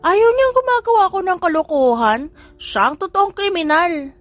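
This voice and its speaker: A woman's voice: very high-pitched, big pitch swings, moderate pace, dry recording, pitched above the performer's own voice. A woman voicing a playful little boy, one of the triplets, talking in a pleading child's voice. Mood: excited.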